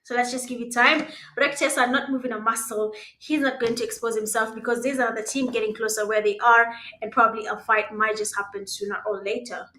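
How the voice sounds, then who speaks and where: A Kenyan woman whose first language is Swahili, giving live esports commentary in a conversational, hesitant way with pauses. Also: a little high, steady tone, pushed and bright. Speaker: esports caster. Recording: roomy, echoing sound.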